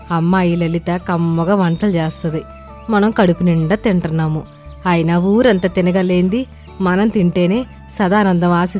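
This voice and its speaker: A woman voicing a brazen, freeloading village aunt in an animated moral story, pushy and unabashed. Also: lowish voice, natural voice.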